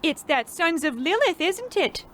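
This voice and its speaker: A woman's voice: extremely high pitch, very animated, unhurried, fairly bright tone, heavily acted. A woman playing a chipper, doting old-fashioned housewife in a radio play, coaxing and folksy. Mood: chipper concern.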